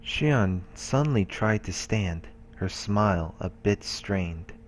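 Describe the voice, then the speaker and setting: A man's voice: on the low side, lively intonation, slow pace, slight background noise. A man reading a visual novel's story narration aloud as a let's play narrator. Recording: music behind the voice. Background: game music.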